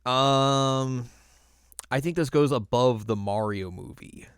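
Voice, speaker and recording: A man's voice: mid-range voice, slow, smooth, no echo. A man co-hosting a podcast, chatting casually and informally about films with some dry humor. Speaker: podcast co-host. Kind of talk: casual film review chat.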